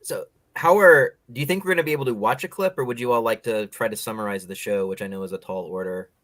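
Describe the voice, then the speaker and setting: A man's voice: lowish voice, quick pace, fairly bright tone, dry recording. A man hosting an interview show, asking long, opinionated questions in a conversational way.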